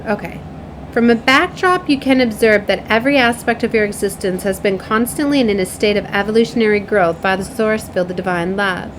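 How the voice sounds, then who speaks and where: A woman's voice: medium pitch, fairly quick. A woman giving an informal tarot and oracle reading, speaking in a familiar, mystical manner. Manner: mystical, familiar, calls people baby. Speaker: tarot and oracle card reader, spiritual channeler. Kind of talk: informal card reading mixed with reading card messages aloud. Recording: clearly noisy amateur home recording.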